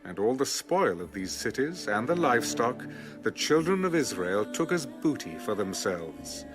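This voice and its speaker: A man narrates the Bible with solemn, deliberate delivery and a lively, varied pitch. Music plays clearly underneath his voice. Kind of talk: scripted dramatic Bible narration.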